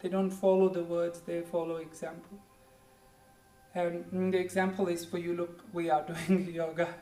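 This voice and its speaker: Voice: mid-range voice, little pitch movement, moderate pace. Indian-accented yoga teacher in their forties. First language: Hindi or Punjabi. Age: 40s. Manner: gentle, encouraging, keeps saying "good", simple repeated cues. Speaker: yoga instructor. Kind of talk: guided yoga class with pep talk. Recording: echoey room, distant mic, faint music.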